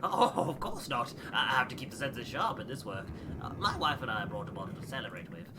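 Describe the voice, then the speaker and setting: Man putting on a flustered, higher-pitched polite scientist. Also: sing-song, lightly acted. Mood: flustered, apologetic. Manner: fussy, halting, eager to please. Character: flustered, apologetic, polite male expedition scientist. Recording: clearly noisy home recording.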